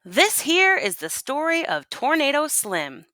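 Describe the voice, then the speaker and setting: A woman reading a picture book aloud as a teacher would, in an expressive, folksy storytelling manner. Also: sits high, measured pace, no room sound, studio quality. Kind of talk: animated picture-book read-aloud to listeners.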